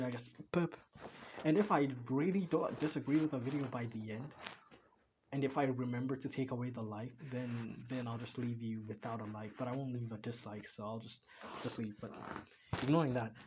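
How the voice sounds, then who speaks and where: A man's voice: medium pitch, dull audio, noisy background. A young man, casually chatting and rambling with many restarts as a reaction video host. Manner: rambling, halting, full of fillers and restarts. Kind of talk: casual chat.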